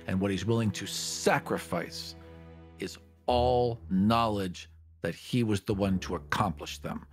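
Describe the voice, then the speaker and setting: A man's voice: lowish voice, a little slow. A man playing a tabletop game, talking informally and thinking aloud as he improvises his character's choices. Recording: music behind the voice. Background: background music.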